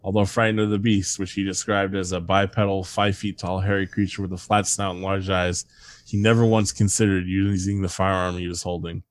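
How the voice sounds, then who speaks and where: A man's voice: deep voice, little pitch movement, fairly quick. A man hosting a comedy podcast, reading strange stories aloud and breaking into laughter and asides. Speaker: podcast host reading a countdown list.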